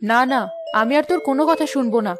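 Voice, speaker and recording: A woman voicing a doting, protective middle-aged mother in an animated moral story, with an insistent, motherly manner. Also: high-pitched, quick pace, over music, natural voice. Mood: insistent, indignant.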